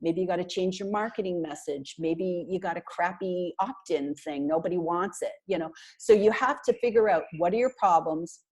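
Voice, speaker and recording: A woman's voice: on the low side, normal speed, hardly any pauses. A middle-aged woman from Canada, a marketing consultant, talking animatedly and emphatically as a guest on a video call through an earphone headset. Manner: animated, talks with her hands, chatty and emphatic. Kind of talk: casual video call interview. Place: small bright room indoors.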